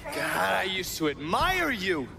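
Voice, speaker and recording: An actor with an American accent playing a stern, sardonic government agent in a video game cutscene. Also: male voice, sits high, sing-song, unhurried, gravelly, noisy background, heavily acted. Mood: accusing, disillusioned. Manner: sardonic, accusing.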